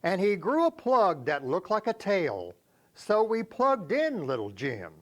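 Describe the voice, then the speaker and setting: A man reciting poems for a reader's theater in a measured, expressive storytelling way. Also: sits high. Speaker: poetry reader.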